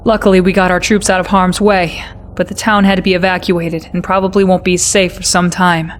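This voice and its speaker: A woman's voice: lowish voice, level tone, fairly quick, slight background noise, natural voice. A woman playing an earnest, patriotic military intelligence officer, formally briefing a commander in a game cutscene. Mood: brisk, confident. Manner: formal, addresses listener as sir.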